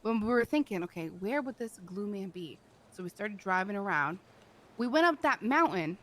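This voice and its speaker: A woman's voice: medium pitch, animated, moderate pace, a bit bright. A woman improvising casual roleplay chat with emphatic, playful storytelling. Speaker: roleplay game player.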